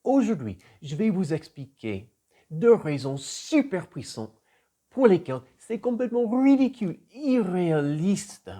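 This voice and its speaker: Middle-aged American man, English trainer, emphatic motivational teacher, warm and mellow tone, very lively pitch. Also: a little slow. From United States (Florida). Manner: animated and emphatic, swings between loud punches and soft drops. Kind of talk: motivational video lesson.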